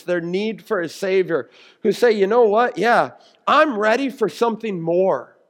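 High-pitched American man preaching. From United States. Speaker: pastor preaching. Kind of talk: sermon, explaining scripture.

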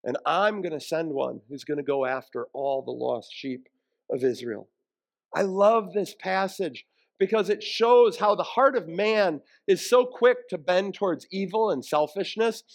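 A man preaching a sermon in a conversational, colloquial way, putting emphatic questions to his listeners and quoting scripture. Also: high-pitched.

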